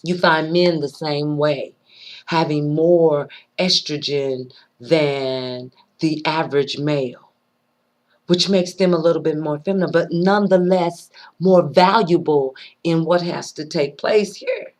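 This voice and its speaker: A woman with a rough voice giving an earnest, preachy monologue, pausing often and repeating her words as she thinks aloud. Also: low-pitched, steady tone, darkish tone. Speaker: vlogger sharing personal views. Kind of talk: unscripted spoken monologue.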